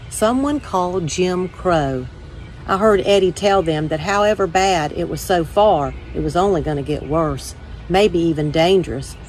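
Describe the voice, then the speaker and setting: A woman's voice: lowish voice, darkish tone, a little hiss or hum, rough recording. A woman reading a children's storybook aloud as a first-person narration. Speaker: story time reader.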